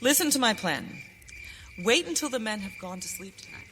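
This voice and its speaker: A woman playing a steady, courteous masked western hero in a radio play, with formal, deliberate diction. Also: fairly high pitch. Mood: resolute. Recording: hall reverb, faint noise.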